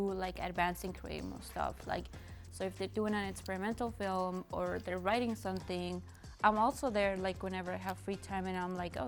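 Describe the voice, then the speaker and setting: A young adult woman giving casual, rambling interview answers with plenty of "like". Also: mid-range voice. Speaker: youth program alumna helping with classes.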